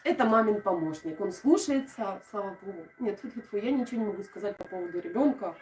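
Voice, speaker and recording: A chatty woman from Odesa speaks with a soft southern Ukrainian g in her Russian. She hosts a relaxed live stream and answers her viewers like old friends. Her voice is mid-pitched and sounds roomy, recorded at some distance from the mic. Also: quick pace, soft background music. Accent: southern Ukrainian Russian, soft g and "sho". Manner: chatty and warm toward viewers, with frequent laughs and fillers. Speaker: host of a craft live stream. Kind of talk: casual live-stream chat, reading out viewer comments.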